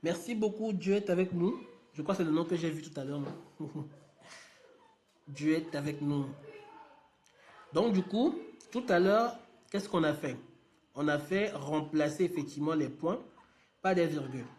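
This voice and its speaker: A man's voice: fairly high pitch, measured pace, long pauses, a little mellow. A man leading a live online lesson, talking casually and thinking aloud with many fillers as he works on screen. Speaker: trainer.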